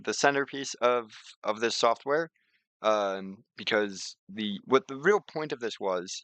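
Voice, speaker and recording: A man giving an informal screen-share software tutorial, talking conversationally and repeating words for emphasis. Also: lowish voice, no echo.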